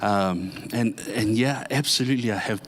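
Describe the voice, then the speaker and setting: A man, a pastor introducing himself to a church audience in a halting, heartfelt way with many pauses and restarts. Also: fairly low pitch, a bit bright, a little hiss or hum. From born in Samoa, grew up in New Zealand.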